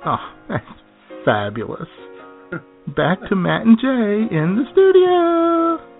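A flirty, gushing celebrity interviewer acted by a man for radio comedy. The pitch leaps all over, with some vocal fry, over music in a muffled recording. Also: sing-song, slow pace, full character voice. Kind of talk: comic radio interview sketch. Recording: dull lo-fi radio audio with music behind.

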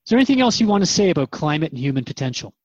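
A man's voice: on the high side, sing-song, rapid-fire, darkish tone, no echo, dull audio. A man playing a modest, earnest radio interviewer in a scripted radio drama. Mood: thoughtful. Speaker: radio show host and interviewer.

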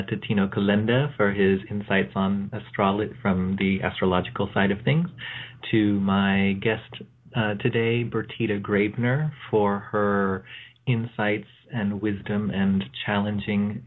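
Male radio host, lowish pitch, reflective off-the-cuff monologue, measured and pausing, narrow telephone sound. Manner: measured, pausing mid-phrase. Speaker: tarot reader. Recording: thin phone-like audio.

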